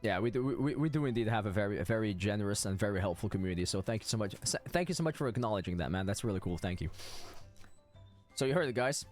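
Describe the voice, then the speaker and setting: A man's voice: on the low side, lively intonation, fast, darkish tone, faint music behind. A man giving casual live game commentary as a streamer, with informal, jokey asides.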